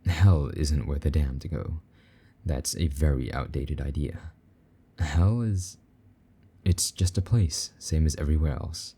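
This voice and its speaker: A man playing a possessive but tender, lonely demon lover in an intimate romantic audio roleplay, speaking softly and coaxingly in a husky voice. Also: heavily acted. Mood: soft, reassuring. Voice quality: very deep, dark, husky. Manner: soft, slow-burning, gently coaxing endearments.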